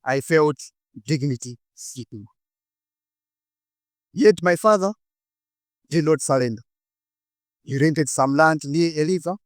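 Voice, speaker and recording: Higher-voiced Malawian man giving a speech. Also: no room sound, studio quality. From northern Malawi. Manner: deliberate, dramatic pauses between short phrases. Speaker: speaker giving a prepared talk. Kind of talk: rehearsed inspirational public speech with personal storytelling.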